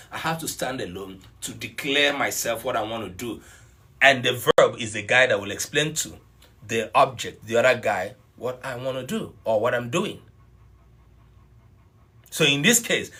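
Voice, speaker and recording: A man's voice: medium pitch, bright, forceful tone. A middle-aged man teaching an online language class, explaining points patiently and repeating them. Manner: explanatory, repeats points, greets students by name. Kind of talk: live online class lecture.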